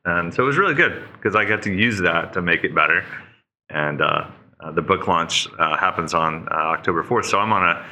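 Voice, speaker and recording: A man in his fifties from Oklahoma, a guest on a podcast, telling his story in animated, casual chat. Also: fairly quick, slight background noise. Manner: animated storyteller, jumps to high-pitched mock voices. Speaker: podcast guest, leadership speaker.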